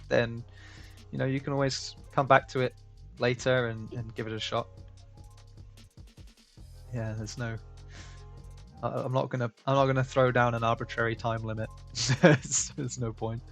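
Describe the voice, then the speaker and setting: A man from the UK hosts a livestream and chats in a relaxed, rambling way, reading viewers' messages aloud as he goes. His voice sits mid-range with a gravelly fry. Faint music plays softly behind him. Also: mid-range voice. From United Kingdom. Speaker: stream host. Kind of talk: casual livestream chat.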